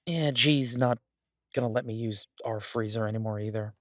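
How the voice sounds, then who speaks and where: A man performs a mafia boss cooped up and restless, doting on the one he talks to. His medium-pitched voice is recorded very dry, with no room around it. He speaks conversationally, with playful exasperation and a swearing gangster's swagger, as in an intimate audio roleplay. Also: moderate pace, fairly bright tone, no echo, natural voice. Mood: exasperated, griping. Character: male mafia boss, doting, restless, stuck baking in a safe house.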